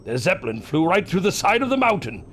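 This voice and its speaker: Wildly swooping yeti gatekeeper. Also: male voice, high voice, very animated, fast talker, fairly bright tone, a little hiss or hum. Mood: solemn, haunted. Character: yeti gatekeeper of a hidden mountain gateway, lonely and welcoming. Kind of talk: audio drama acting.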